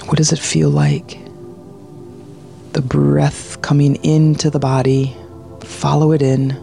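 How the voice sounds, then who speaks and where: A meditation guide with a slow, unhurried delivery and a gravelly creak in the voice. The tone is soothing and hushed, leading a guided meditation over faint music. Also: mid-range voice, little pitch movement. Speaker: meditation guide, podcast host.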